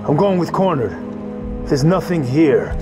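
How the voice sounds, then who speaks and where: A man's voice: on the high side, animated, unhurried, over music. A man playing a wisecracking soldier in a military video game, dry and sarcastic. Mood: sardonic. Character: sardonic, wisecracking male soldier. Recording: loud game soundtrack behind.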